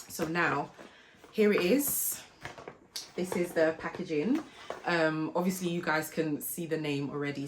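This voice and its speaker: Woman, hoarse, low-pitched, friendly vlog chat, faint background noise. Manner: chatty, polite, storytelling. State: hoarse from a flu. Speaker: fashion and lifestyle vlogger. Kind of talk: casual unboxing vlog chat.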